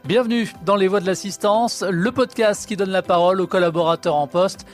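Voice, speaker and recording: A man hosting a podcast, reading a scripted intro and asking interview questions in a clear, announcer-like way. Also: sits high, steady tone, fairly quick, soft background music. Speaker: podcast host.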